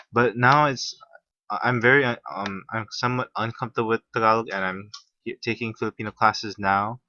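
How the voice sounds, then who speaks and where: Young man, student presenter, halting delivery, lowish pitch, lo-fi recording. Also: pushed and bright, no room sound. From Hawaii. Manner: halting, with restarts and fillers. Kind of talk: reading a class presentation. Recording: muffled, slightly lo-fi.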